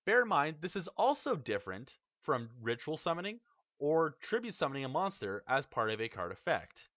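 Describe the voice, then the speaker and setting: A man with a bright, forward voice that rises and falls a lot as he walks through game rules one step at a time. His narration is measured and methodical, recorded dry and close, with a slightly dull, lo-fi sound. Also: a little high, big pitch swings, no echo. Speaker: card game tutorial host. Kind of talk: scripted instructional narration.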